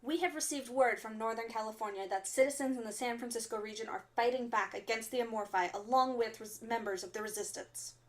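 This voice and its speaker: An actress playing a composed, stern resistance radio announcer, reading formal bulletins in a measured, official way for a radio drama. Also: female voice, on the high side, lightly acted. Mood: steady, reassuring.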